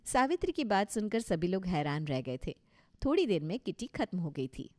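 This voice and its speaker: A woman's voice: on the low side, big pitch swings, normal speed, darkish tone. A woman reading scripted narration for a story as its narrator.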